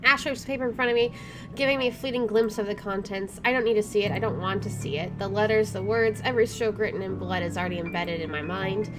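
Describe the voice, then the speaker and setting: A woman's voice: medium pitch, fairly even intonation, fast talker. A woman doing a let's play, reading game narration aloud and adding informal, reactive commentary. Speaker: game streamer, let's player. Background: game music.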